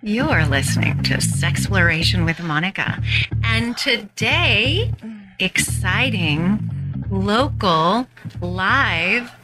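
A woman's voice: medium pitch, sing-song, slow, loud music behind the voice. An American woman hosting a radio show in a studio, chatting casually and interjecting eagerly as she interviews. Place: recording studio.

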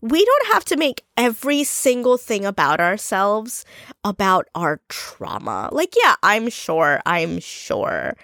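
A woman's voice: medium pitch, wildly swooping pitch, takes it slowly, a bit bright, no echo, studio quality. A young adult woman hosting her own podcast, talking alone in a blunt, ranting way with plenty of swearing, a bit stuffy from congestion. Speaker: podcast host. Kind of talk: solo podcast monologue.

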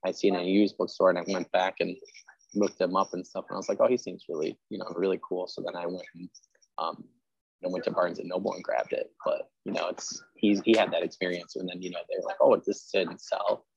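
A man's voice: sits low, little pitch movement, brisk, vocal fry, darkish tone, husky, no room sound, highs cut off. A man hosting a podcast interview in an informal, rambling, self-deprecating chat style. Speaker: podcast host interviewing an author.

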